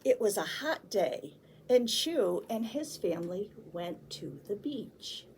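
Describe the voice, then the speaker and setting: A woman reads and chats as a children's storytime host, with simple, deliberate phrasing. Her pace is slow and her voice has a little creak in it. There is faint noise behind her. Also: mid-range voice, animated, a little mellow. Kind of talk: picture-book read-aloud for small children.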